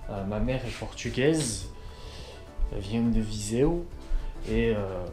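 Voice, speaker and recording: A man's voice: fairly low pitch, slow pace, warm tone, faint music behind. A man from southwestern France chatting casually to camera as a vlogger, informal and slangy with plenty of swearing. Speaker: car vlogger.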